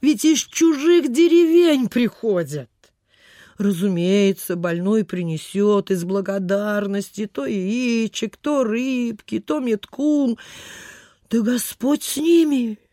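A woman's voice: a little high, animated, takes it slowly, mellow, no echo, studio quality. An actress playing a superstitious, chatty provincial landlady in a radio play, with sighing, gossipy, old-fashioned folksy speech. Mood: chatty, grateful. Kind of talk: Soviet radio theatre acting.

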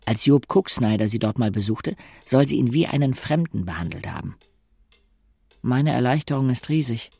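A wry young man narrating an audio drama. His voice sits in a middle male range with a strong creak. He delivers his literary observations dryly. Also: medium pitch, lively intonation, normal speed, mellow, no room sound. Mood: observant.

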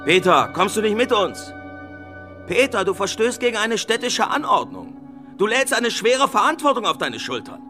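A man's voice: sits high, sing-song, normal speed, bright, forceful tone, soft background music, exaggerated voice. An actor in a German radio play playing an officious man who insistently pleads and admonishes. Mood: admonishing. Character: insistent man urging and admonishing a reluctant friend.

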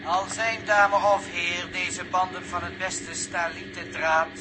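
A pedantic talking car machine in a sixties radio drama. It speaks in a high, unvarying tone with stilted, official politeness. Loud noise runs through the old recording. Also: male voice, fairly even intonation, measured pace. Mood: impersonal, warning. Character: formal, pedantic automatic car warning machine. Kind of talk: 1960s Dutch radio drama acting.

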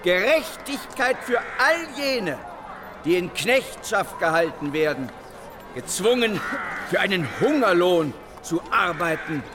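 An actor playing a fiery, zealous sixteenth-century revolutionary preacher in a radio play, declaiming in a rousing, deliberate way. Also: male voice, high-pitched, sing-song, background noise, exaggerated voice. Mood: fervent, rousing. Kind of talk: dramatic sermon in historical radio play. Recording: loud music under the voice.

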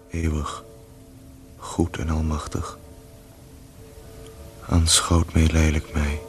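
An actor playing a worn-out dying man who prays slowly and resignedly for mercy in a radio play. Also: extremely low pitch. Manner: slow, resigned, devout. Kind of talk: solemn prayer in verse in a radio play. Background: music.

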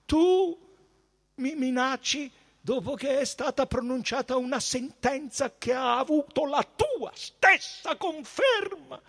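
A dignified high priest of Judea from a storybook, played by a man reading aloud. The voice is pitched high, well above an everyday male register. He is solemn and deliberate, with fervent defiance in his manner. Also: animated, creaky, fairly bright tone, full character voice. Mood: offended, dignified.

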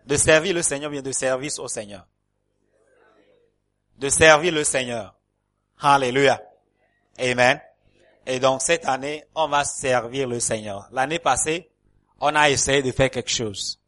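A man's voice: medium pitch, fairly even intonation, a little slow, long pauses, a bit bright. A male pastor preaching a sermon to a congregation in a church hall. He speaks French as a second language, with fillers and repeated words, and breaks up his phrases with calls of alleluia.

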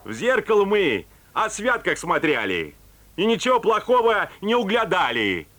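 A man's voice: high-pitched, huge pitch swings, normal speed, background noise. A man playing a grimy, stubborn peasant grown rich in a comic fairy-tale audio play, speaking in a folksy, theatrical, mock-deferential way. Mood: smug deference.

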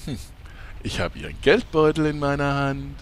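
A man's voice: fairly high pitch, normal speed, close to an everyday voice. A man playing a relentlessly chatty, annoyingly cheerful sidekick in a German comedy audio drama. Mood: chirpy, pestering. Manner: chirpy, pestering, theatrical.

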